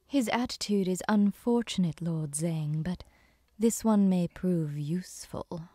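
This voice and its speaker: An actress playing a poised, dangerous female pirate adviser in a video game, speaking in a cool, measured, formal manner. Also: on the low side, noisy background, natural voice. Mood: cool, persuasive. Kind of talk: video game cutscene acting.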